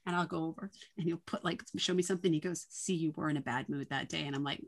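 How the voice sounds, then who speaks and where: A woman's voice: low voice, steady tone, fast. A middle-aged woman with a New England accent, chatting as a guest and laughing often. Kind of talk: casual conversation.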